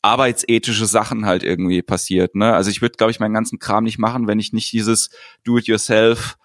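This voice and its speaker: A man with a lowish voice tells stories in an unhurried way, with dry humor. The sound is dry and close to the mic, with no room echo. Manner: relaxed storytelling, dry humor. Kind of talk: casual podcast chat.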